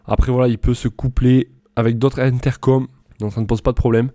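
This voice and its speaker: A man's voice: medium pitch, level tone, brisk, dark tone, no room sound, clean studio sound. A man presenting a product comparison in a casual, loosely improvised voice-over, explaining things informally.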